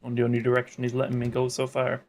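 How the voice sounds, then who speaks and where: A man's voice: mid-range voice, completely flat delivery, very fast, darkish tone. A man hosting a gaming video, chatting casually as he plays. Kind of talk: casual gameplay banter.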